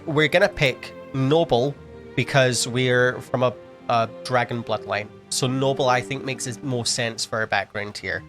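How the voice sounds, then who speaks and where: A man's voice: medium pitch, fairly bright tone. A man commentating a video game at a casual pace, sniffly, thinking aloud with frequent ums and restarts. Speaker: gameplay commentator. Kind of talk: casual let's-play commentary.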